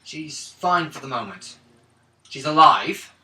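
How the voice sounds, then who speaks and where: A man's voice: fairly high pitch, animated, slow pace, sharp tone. A man bantering casually as a player in a tabletop role-playing game, recorded in an echoey room. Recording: echoey room, distant mic, faint noise.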